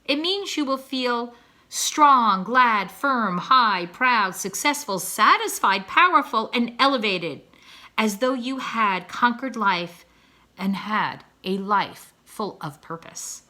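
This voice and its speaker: A proud father swan from a children's storybook, acted out by a woman, speaking in grand, florid declamation. The voice is bright and forceful, with plenty of rise and fall, as if every sentence were a lecture to his young. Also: a little high, expressive, slow pace, full character voice. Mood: instructive, exalted. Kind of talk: children's storybook read-aloud.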